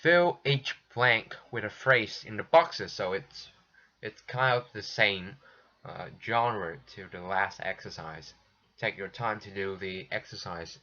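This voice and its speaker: Slow, patient guy teacher checking answers, bright tense voice, kinda lo-fi recording. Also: fairly low pitch. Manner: patient, slow, restarts often. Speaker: English teacher. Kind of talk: recorded textbook lesson walkthrough. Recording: dull lo-fi sound.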